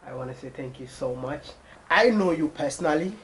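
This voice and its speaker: A man's voice: fairly high pitch, animated, brisk, fairly bright tone, slight background noise, rough recording. A man with a Ghanaian accent hosting a casual interview, talking in an informal, eager way. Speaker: interviewer, video host.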